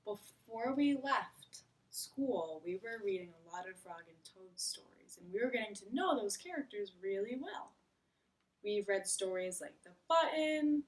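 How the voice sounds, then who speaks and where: A woman teacher leading a read-aloud lesson, speaking expressively to children and putting questions to them. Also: mid-range voice. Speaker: elementary school teacher. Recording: voice far from the mic.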